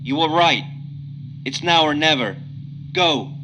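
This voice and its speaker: A man's voice: fairly high pitch, lively intonation, takes it slowly, background noise, pitched above the performer's own voice. A man voicing a tense young male hacker, terse and commanding, urging on his heist partner. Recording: music bed under the voice.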